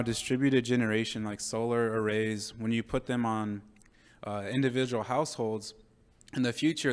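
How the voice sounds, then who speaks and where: A young man, a master's student with a loud voice, pitching his idea at a workshop. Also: clear, resonant tone.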